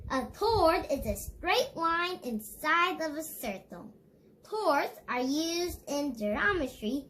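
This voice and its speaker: Child reader, very animated intonation, slow deliberate pace, instructive read-aloud, faint background noise. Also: sits high. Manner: deliberate, instructive, addresses viewers directly. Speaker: host reading a children's book aloud.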